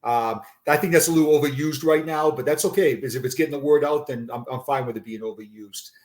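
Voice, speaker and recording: Older boston guy being interviewed, earnest, trips over his words a lot, mid pitch. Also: quick pace, darkish tone. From Boston area, USA. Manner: earnest, repeats and restarts words often. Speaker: guest, retired police sergeant. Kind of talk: unscripted interview conversation.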